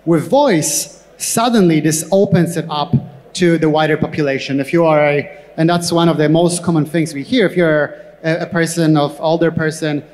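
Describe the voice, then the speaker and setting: Higher-pitched man speaking in an echoey hall. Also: a little mellow. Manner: halting, repeats words as he explains. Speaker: tech company co-founder, panel guest. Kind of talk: on-stage interview answers. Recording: echoey hall with long reverb.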